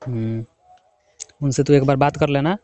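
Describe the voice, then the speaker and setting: A man's voice: medium pitch, fairly even intonation, moderate pace, mellow, dry recording, highs cut off. A young man from the of India, chatting casually with his wife, teasing and coaxing. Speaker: husband working away from home. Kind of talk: casual phone chat.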